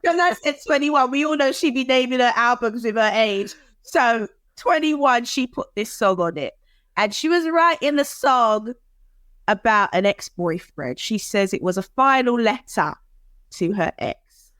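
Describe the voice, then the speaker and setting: A woman co-hosting a podcast in casual chat, animated and quick to laugh, with dramatic asides. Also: fairly high pitch, dry recording. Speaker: podcast co-host.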